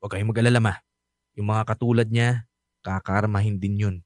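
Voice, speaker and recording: A man's voice: fairly low pitch, steady tone, a little fast, darkish tone, no echo, clean studio sound, close to an everyday voice. An actor playing a bitter, resentful young adult son, protective of his mother, speaking with heated emphasis in a radio drama.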